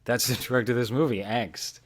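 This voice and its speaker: A man's voice: medium pitch, fairly even intonation, fast talker. A man hosting a podcast, casually analysing films and explaining his points piece by piece. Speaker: podcast host.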